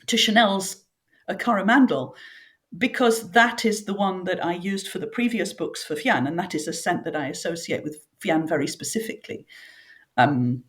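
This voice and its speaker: A woman's voice: lowish voice, normal speed. A middle-aged woman from northern England, brought up speaking French, talks through her memories in a reflective, vivid way. She lingers on details and drops in small fillers now and then. Her tone stays conversational, the way a guest talks in a sit-down chat. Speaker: author guest. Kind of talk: conversational interview.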